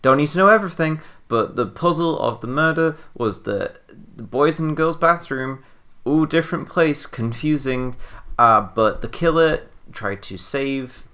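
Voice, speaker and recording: A podcast co-host in a casual group discussion, explaining things informally in a halting way that circles back on phrases. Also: medium pitch, lively intonation, fairly bright tone.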